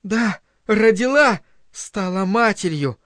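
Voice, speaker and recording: Man putting on a high, pleading aging woman. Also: animated, takes it slowly, no echo, studio quality, heavily acted. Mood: desperate. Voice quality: trembling. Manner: pleading, coquettish and clinging. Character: aging, desperate, tearful woman pleading with her former lover.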